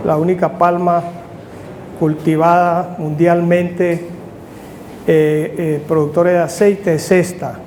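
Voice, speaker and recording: Older colombian guy lecturing at a seminar, soft dark tone, kinda high voice, stops and restarts, bit of hiss. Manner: measured, halting, restarts phrases in short bursts. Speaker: university lecturer, agronomist. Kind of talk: academic conference lecture. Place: university seminar room. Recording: faint background noise.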